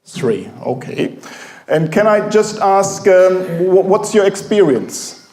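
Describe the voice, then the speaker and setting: A German-accented professor giving a halting, informal talk to a café audience, full of fillers. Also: male voice, sits high, big pitch swings, a little mellow, echoey room. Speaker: guest speaker, professor.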